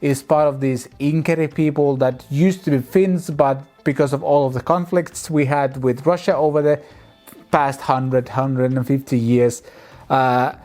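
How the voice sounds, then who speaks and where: A man, hosting a casual music vlog and answering viewer comments in a relaxed, chatty way. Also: mid-range voice, a little slow. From Finland. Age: 30s. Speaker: music channel host.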